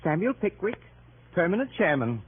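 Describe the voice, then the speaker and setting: An actor in 1930s radio drama playing a courteous, earnest gentleman chairman with a polite, slightly self-important manner. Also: sits high, very animated, moderate pace, slightly rough, exaggerated voice. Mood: formal. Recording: tinny, muffled 1930s radio broadcast.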